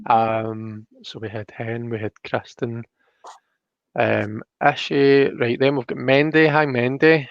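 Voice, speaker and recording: A man's voice: mid-range voice, flat delivery, takes it slowly, gravelly, dry recording, dull audio. A man hosting a casual livestreamed tarot reading, explaining things in an informal, hesitant, chatty way. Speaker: host.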